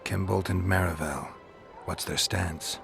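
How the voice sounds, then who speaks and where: Gruff mercenary monster hunter, fantasy game. Very deep, gravelly, flat and unimpressed delivery. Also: male voice, a little slow, soft background music, full character voice. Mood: dry, probing. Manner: terse, deadpan. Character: gruff, stoic male monster hunter for hire. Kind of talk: video game dialogue acting.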